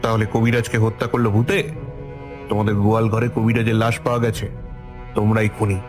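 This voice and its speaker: A man's voice: on the low side, flat delivery, fairly quick, over music, natural voice. A man playing a despotic, hot-tempered village headman in a cartoon, with a commanding, blustering way of talking. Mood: angry, commanding. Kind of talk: Bengali cartoon voice acting.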